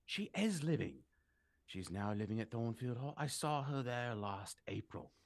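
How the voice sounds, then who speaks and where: A man acting a forceful, accusing gentleman in a period radio drama, emphatic and declamatory. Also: medium pitch, lively intonation, unhurried, dry recording, clean studio sound. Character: forceful, accusing gentleman exposing a secret marriage.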